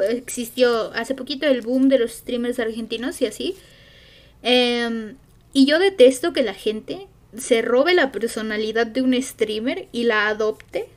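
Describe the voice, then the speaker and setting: Slow, high-voiced Mexican woman streamer. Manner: rambling, hesitant with many fillers. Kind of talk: casual stream chat.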